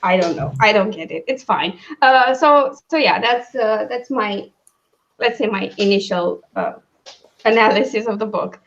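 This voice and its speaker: A woman hosting a casual livestream book discussion, thinking aloud in halting, broken phrases. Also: mid-range voice. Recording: dull, lo-fi sound.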